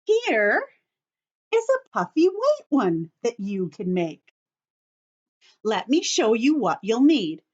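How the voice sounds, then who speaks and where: Slow, lilting woman teaching young children. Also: a little high, big pitch swings, slightly rough, muffled recording. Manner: simple, instructive, addressing children directly. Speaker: children's story-time teacher showing a craft. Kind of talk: step-by-step craft demonstration for young children.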